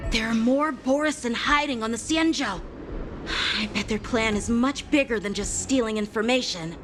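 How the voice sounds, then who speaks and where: Game heroine: earnest, vigilant young investigator woman performing. High register, alert and dutiful, scored with music. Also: normal speed, lightly acted. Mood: worried, analytical. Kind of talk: dramatic video game voice acting.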